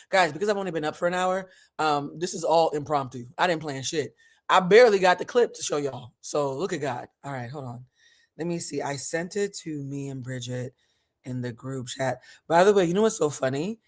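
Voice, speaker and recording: A husky-voiced host in their forties talks straight to the camera in a loose, unplanned vlog. The delivery is chatty and frank, with plenty of casual swearing. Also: medium pitch, normal speed. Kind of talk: casual impromptu chat.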